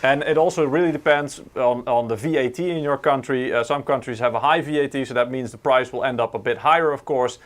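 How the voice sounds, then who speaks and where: A man's voice: mid-range voice, brisk, few pauses. A man co-hosting a live stream, explaining at length in a casual, hedging way with many fillers. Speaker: co-host.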